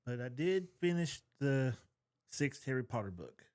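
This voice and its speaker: A middle-aged man talks casually as a podcast co-host. His pitch is average, and his manner is folksy, plain and opinionated. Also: sing-song, a little slow, darkish tone. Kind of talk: casual chat.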